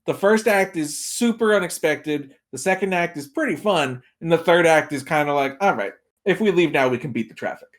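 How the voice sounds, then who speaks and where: A man's voice: fairly high pitch, expressive, fairly quick, hardly any pauses. A man talking casually as a podcast guest, giving film opinions and acting out imagined reactions as he tells it. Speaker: podcast guest, movie critic.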